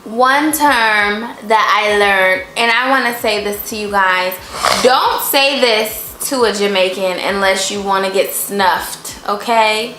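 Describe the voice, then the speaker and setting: A woman's voice: mid-range voice, takes it slowly, sharp tone, echoey room, a little hiss or hum, poor audio. A woman co-hosting a casual couple vlog who asks a lot of curious, playful questions. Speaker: co-host asking about Jamaican phrases.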